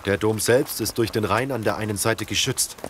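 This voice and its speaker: A man playing a calm, strategic leader of survivors who explains things in a measured way in an audio drama. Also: lowish voice, a little hiss or hum, close to an everyday voice. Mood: earnest, calm.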